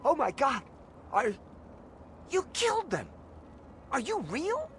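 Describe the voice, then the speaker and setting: An actor playing a timid, grateful captive slave in a video game, earnest and full of disbelief. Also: male voice, very high voice, huge pitch swings, slow pace, background noise, full character voice. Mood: amazed, grateful. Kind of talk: video game character dialogue.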